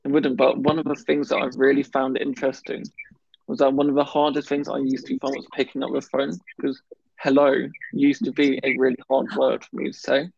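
A man's voice: mid-range voice, monotone, a little fast, creaky, a little mellow, breathy edge, no room sound, lo-fi sound. A man in casual conversation who stammers, blocking on some words and often restarting. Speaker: guest talking about his stammer. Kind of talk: casual chat.

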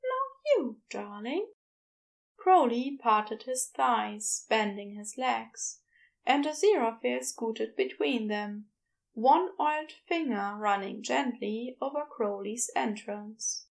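A woman's voice: a little high, slow, gravelly. A woman reading a piece of fiction aloud as scripted audiobook narration. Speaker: fan fiction audiobook reader.

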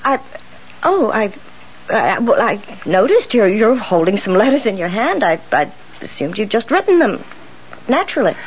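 A woman's voice: medium pitch, big pitch swings, moderate pace, slightly rough. An actress playing an anxious, stammering boarding house landlady in a 1970s radio mystery. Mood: flustered. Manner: flustered, stammering. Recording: muffled, noisy 1970s radio recording.

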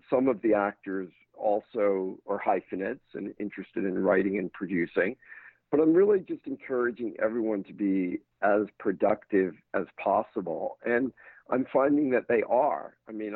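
A man's voice: on the low side, no room sound. A man with an American accent, a talent manager, giving thoughtful answers in a casual interview with frequent restarts. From England. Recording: dry, tinny phone-like line.

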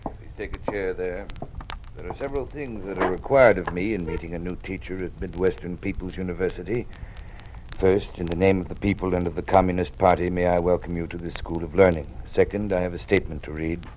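An actor playing a graying, kindly college dean with an American accent in an old-time radio drama. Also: male voice, low voice, steady tone, a little fast, a little mellow, close to an everyday voice. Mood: formal, official. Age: older. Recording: noisy vintage radio recording.

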